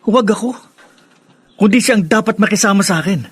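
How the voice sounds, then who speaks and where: A man's voice: high-pitched, a little fast, breathy edge, no echo. A man playing a spiteful, scornful young adult son in a Filipino radio drama. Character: spiteful, scornful grown son resenting his stepmother.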